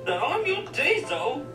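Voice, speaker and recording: Someone voicing an excitable, swooping cartoon engine sidekick. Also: extremely high pitch, exaggerated voice. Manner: gushing, giggly, eager. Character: excitable, eager young diesel engine sidekick, bubbly. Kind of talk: cartoon fan dub. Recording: echoey, distant, loud music behind.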